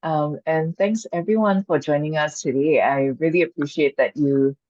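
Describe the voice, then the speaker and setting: A woman from Singapore, a Chinese speaker, gently guiding an online mindfulness and qigong workshop as its coach. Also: low voice, moderate pace, no echo. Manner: gentle, inviting, reassuring. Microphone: video call. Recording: dull dry video call audio.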